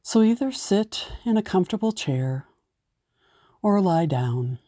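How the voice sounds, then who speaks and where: A woman leading a guided meditation in a gentle, soothing way, giving unhurried instructions. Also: lowish voice, animated, no echo, studio quality.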